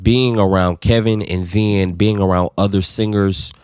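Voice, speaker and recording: Man, low voice, earnest, reflective, casual swearing, dry close sound, podcast chat. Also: fairly even intonation, unhurried, darkish tone, clear, resonant tone, no echo. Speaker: podcast co-host. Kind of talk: casual conversation.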